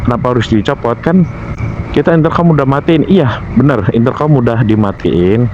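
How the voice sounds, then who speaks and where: A man's voice: medium pitch, moderate pace, a little mellow, a little hiss or hum. Indonesian man explaining casually, dull lo-fi sound. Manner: repetitive, instructive, searching for words aloud. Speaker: motovlogger giving gear care tips. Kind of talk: informal explanatory vlog talk.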